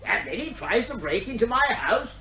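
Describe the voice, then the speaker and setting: An actor playing a dotty, stubborn eccentric, blandly polite and evasive, in a radio comedy drama. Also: male voice, extremely high pitch, very animated, moderate pace, bright, forceful tone, full character voice. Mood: huffy, self-satisfied. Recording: echoey room with faint background noise.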